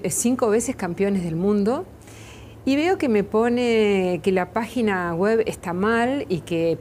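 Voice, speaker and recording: A middle-aged woman with a Uruguayan accent, a makeup artist answering questions in a TV studio interview, often pausing with fillers and restarts. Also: medium pitch, warm tone, slight background noise. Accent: Uruguayan Spanish. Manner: hesitant, many fillers and restarts. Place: TV studio set, seated in armchair.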